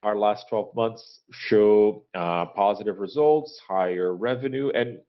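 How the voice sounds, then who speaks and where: Guy with kinda deep voice doing an earnings call, halting businesslike delivery, muffled lo-fi audio. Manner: halting, measured business delivery with frequent hesitations. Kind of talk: corporate earnings call presentation and question answering, unscripted. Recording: dull, lo-fi conference call audio.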